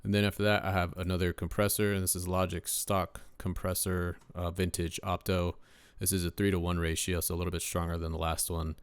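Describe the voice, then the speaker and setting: A deep-voiced young man, a rapper casually walking through his mixing setup in a relaxed way, with lots of ums and uhs. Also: brisk, clear, resonant tone, dry recording. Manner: laid-back, lots of uh and um fillers. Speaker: rapper and home mixing engineer. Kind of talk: casual screen-share mixing tutorial.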